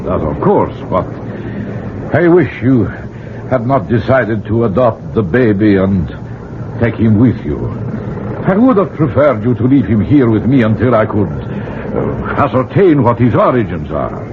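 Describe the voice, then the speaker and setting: Tinny noisy old radio, low-voiced wary archaeologist, formal, foreboding, expressive intonation. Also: male voice. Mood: warm regret. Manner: formal, measured phrasing. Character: grave, wary male archaeologist guide, courteous and foreboding. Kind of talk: 1970s radio drama acting.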